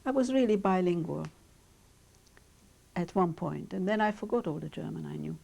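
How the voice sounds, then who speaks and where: Gravelly-voiced older woman recalling her childhood. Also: fairly low pitch, expressive, mellow. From Romania. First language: Hungarian. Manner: measured, reflective, with pauses and hesitations. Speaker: interviewee recounting her childhood. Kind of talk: oral history interview.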